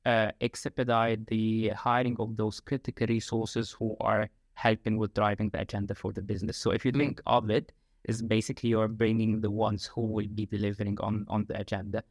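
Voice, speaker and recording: Low-voiced man from Egypt, halting. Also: level tone, dry recording. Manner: frequent fillers, repeated "like, again". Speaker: guest, talent acquisition lead. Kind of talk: conversational interview.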